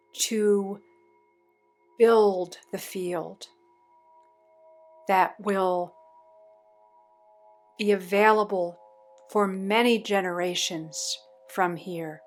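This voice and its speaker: Very slow-paced woman guiding a meditation. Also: mid-range voice, fairly even intonation, faint music behind. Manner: measured, pausing between short phrases. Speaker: meditation guide. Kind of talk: guided meditation, slow spoken phrases.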